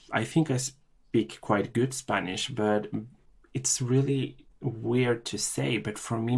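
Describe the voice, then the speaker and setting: A middle-aged man from Chile, a podcast guest telling his life story in a halting way, with many restarts and fillers. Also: medium pitch, a little mellow. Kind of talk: personal storytelling interview.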